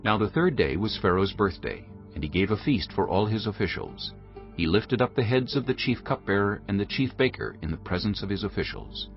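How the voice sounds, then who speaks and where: A man giving a solemn, measured and dramatic narration of scripture, formal in delivery. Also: on the low side, lively intonation, creaky, highs cut off, soft background music.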